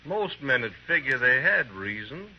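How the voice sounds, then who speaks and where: Menacing radio gunslinger, swooping pitch. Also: male voice, very animated, measured pace. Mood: taunting. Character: menacing, taunting vengeful gunslinger, dangerous man. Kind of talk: old-time radio western acting. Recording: dull old radio recording, faint noise.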